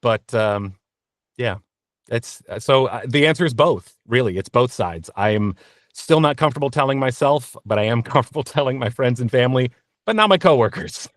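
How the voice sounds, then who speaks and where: A man answering interview questions candidly as a voice actor, in an earnest, reflective and halting conversational manner. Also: mid-range voice, animated, dry recording, clean studio sound. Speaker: interview guest, voice actor and narrator.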